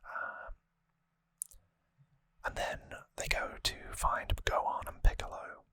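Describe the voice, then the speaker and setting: Close, hushed ASMR whisper, bright and breathy. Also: takes it slowly. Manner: hushed, relaxed, rambling casual explanation. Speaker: ASMR video host. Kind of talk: whispered ASMR trivia recap. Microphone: close microphone.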